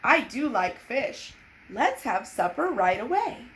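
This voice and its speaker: A woman voicing an exasperated, blustering storybook father with emphatic, drawn-out exclamations. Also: on the high side, big pitch swings, fairly bright tone, full character voice, poor audio. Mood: hungry. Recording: noticeable background noise.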